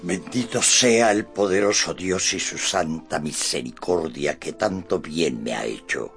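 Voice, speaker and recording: An actor playing a dying old gentleman, once a mad knight, in solemn, weary, declamatory radio drama style. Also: low-pitched, measured pace, vocal fry, soft background music, heavily acted. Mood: solemn relief.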